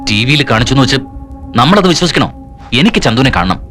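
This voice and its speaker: An actor dubbing a sly, menacing gangster boss who talks mockingly and drops into English, with film music behind. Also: male voice, medium pitch, big pitch swings, quick pace, fairly bright tone. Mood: scheming. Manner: mocking, toying, mixes in English. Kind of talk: dubbed film acting. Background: film score music.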